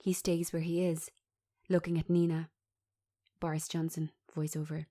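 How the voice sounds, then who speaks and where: A woman reading a script aloud as narrator, giving the stage directions in a measured, descriptive manner. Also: deep voice, level tone, warm tone.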